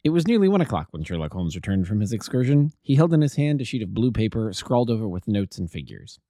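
A man's voice: fairly low pitch, big pitch swings, fast talker, dark tone, no echo, studio quality. A man reading a classic detective story aloud as an amateur audiobook narrator.

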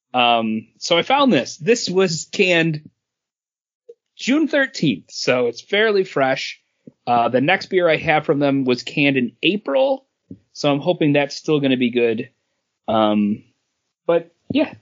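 A man's voice: a little high, lo-fi sound. A man co-hosting a podcast, talking casually with his friends. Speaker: podcast co-host. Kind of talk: casual panel chat.

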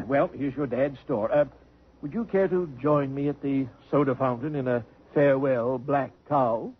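An actor playing a genteel, gently teasing old man who lodges with a family, full of dry quips and courtly phrasing. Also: mid-range voice, unhurried, slightly rough. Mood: wry. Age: older. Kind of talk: 1950s radio drama acting. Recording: muffled old radio broadcast.

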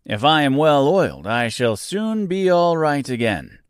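A man voicing a polite, sad, rusted man made of tin in a storybook, speaking slowly and earnestly. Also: a little high, big pitch swings, smooth, dry recording, clean studio sound, pitched above the performer's own voice. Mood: pleading. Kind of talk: storybook character voice.

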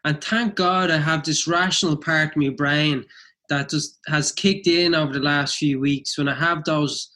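A man's voice: fairly high pitch, steady tone, normal speed, bright, forceful tone. A young adult man co-hosting a podcast, speaking reflectively while he has a mild cold. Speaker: podcast co-host. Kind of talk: casual chat.

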